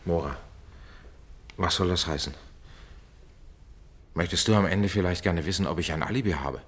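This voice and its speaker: An actor playing a composed, courteous middle-aged male doctor in a German radio play, measured and polite in delivery. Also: sits low, husky. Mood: guarded.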